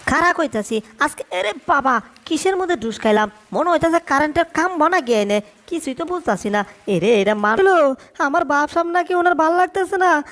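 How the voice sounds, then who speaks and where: High-pitched, blustering cartoon tomcat with , voice-acted. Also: lively intonation, a little fast, fairly bright tone. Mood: flustered, whining. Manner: blustering threats and exclamations in colloquial speech. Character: scheming, hot-tempered male cartoon cat, boastful and flirtatious. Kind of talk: comic cartoon dubbing.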